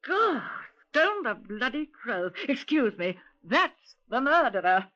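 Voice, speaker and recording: A brash British woman in an old radio play. Her very high voice swoops excitedly, on a dull vintage recording. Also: takes it slowly, faint music behind, heavily acted. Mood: startled, excited. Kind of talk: 1950s radio drama acting.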